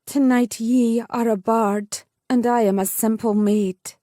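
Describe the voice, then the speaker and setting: A woman voicing a bold, impulsive young Highland maiden. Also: steady tone, slow pace, dark tone, dry recording, clean studio sound. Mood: yearning.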